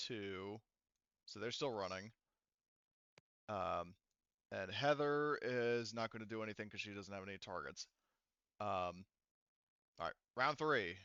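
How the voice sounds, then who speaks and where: Male game master, forceful and smooth, casual tabletop narration, dry sound. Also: lowish voice, dry recording, dull audio.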